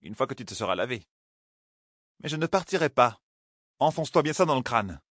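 A man's voice: medium pitch, sing-song, a little fast, fairly bright tone, dry recording, studio quality, pitched above the performer's own voice. Man voicing a defiant young woman in love. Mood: stubborn, teasing. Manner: defiant, teasing, bluntly outspoken. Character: feisty, stubborn young woman in love, fantasy novel. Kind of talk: audiobook character dialogue.

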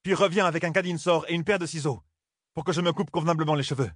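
A man voicing a fiery older woman warrior serving as a maid, shrill and commanding. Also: fast, dry recording, studio quality, close to an everyday voice. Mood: fierce.

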